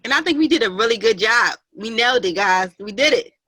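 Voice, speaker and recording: A woman's voice: a little high, expressive, fairly quick, sharp tone. A woman chatting casually as an actor on a video-call panel. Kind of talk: casual chat.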